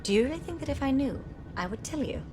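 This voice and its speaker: An actress playing a cool, dryly witty intelligence operative in a cinematic video game. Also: female voice, high voice, big pitch swings, fast talker. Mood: dryly playful. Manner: dry, wry. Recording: loud music behind.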